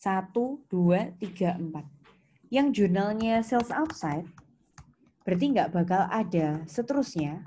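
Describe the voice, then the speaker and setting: A woman's voice: mid-range voice, a little mellow. A woman with an Indonesian accent teaching step by step like a lecturer, in a didactic way with questions and reminders. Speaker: accounting lecturer. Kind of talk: step-by-step lesson with worked examples. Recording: clear background noise, keyboard clicks.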